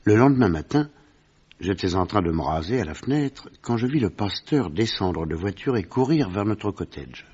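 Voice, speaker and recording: An actor playing a sober doctor who narrates a detective case in a measured, literary French radio drama style. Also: male voice, lowish voice, sing-song, a little mellow, breathy edge. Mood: sober, narrative. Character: sober male doctor recounting his detective friend's case. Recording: dull, muffled vintage radio recording.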